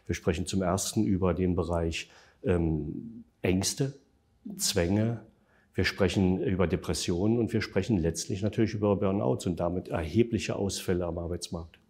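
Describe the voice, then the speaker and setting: A man with a low, dark and husky voice answers interview questions. He explains facts and figures in a steady, measured way, pausing often on filler sounds. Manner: measured and factual, frequent hesitation fillers. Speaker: interview guest, developer of an online tool.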